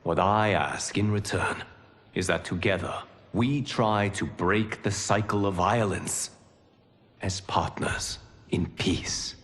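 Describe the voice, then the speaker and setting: A low-voiced, solemn male guardian lord from a video game, wise and formal. He speaks slowly and gravely in a long hall reverb, with music behind. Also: a bit bright. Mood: diplomatic, measured. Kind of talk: video game cutscene acting.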